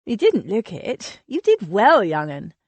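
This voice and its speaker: A woman's voice: medium pitch, huge pitch swings, a little slow, darkish tone, slightly rough, no echo, heavily acted. A woman voicing a confident, wry young woman in a storybook reading, speaking with a teasing, playful edge.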